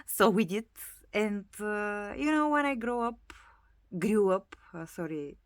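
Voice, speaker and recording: A woman's voice: mid-range voice, animated, slow pace, dry recording. A woman in her thirties with a Bulgarian accent, chatting casually about her doll collection, with many hesitant fillers. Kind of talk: casual hobby vlog narration.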